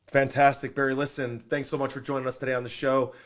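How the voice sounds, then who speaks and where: A man's voice: mid-range voice, little pitch movement, fast talker. A man hosting a podcast in an upbeat interview style, enthusiastic and affirming as he talks. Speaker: podcast host.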